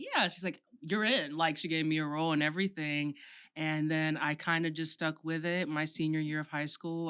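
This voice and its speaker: A woman's voice: sits low, clean tone. A young woman from Florida, a podcast host and actor, chatting casually and telling stories with easy fillers. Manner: relaxed, storytelling, with fillers.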